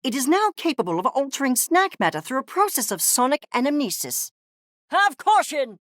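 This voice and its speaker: Snooty obsessed little scientist critter from a video game, swoopy pitch, super wordy and impatient, bone dry sound. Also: sing-song, normal speed, fairly bright tone, dry recording. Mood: confident. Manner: pompous, clinical, wordy, precise diction. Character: arrogant, obsessed mad-scientist furry creature, pompous and impatient. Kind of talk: theatrical game character acting.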